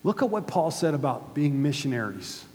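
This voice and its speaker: A man's voice: on the high side, sing-song, normal speed, a little mellow. A man in his fifties from the United States preaching a sermon, emphatic and putting questions to the audience. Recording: hall reverb with faint hum.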